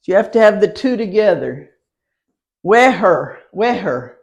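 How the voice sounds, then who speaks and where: A woman teaching a citizenship class, talking slowly and repeating each word for her learners. She is patient and laughs lightly between points. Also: medium pitch, very animated, gravelly, darkish tone. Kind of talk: classroom pronunciation lesson.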